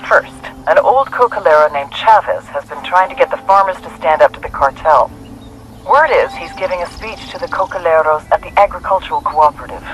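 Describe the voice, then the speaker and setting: An actor playing a brisk, matter-of-fact intelligence handler giving a scripted mission briefing in a game. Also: female voice, on the low side, a little hiss or hum, natural voice, low-quality recording. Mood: businesslike. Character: businesslike intelligence handler briefing special forces soldiers.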